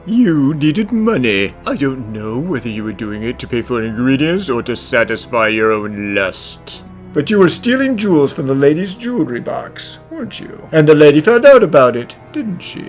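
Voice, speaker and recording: A man acting out a detective's accusation, his voice swinging up and down with lively, theatrical emphasis. Faint music plays behind him. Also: mid-range voice, normal speed. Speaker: detective in a fan dub. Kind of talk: fan dub voice acting.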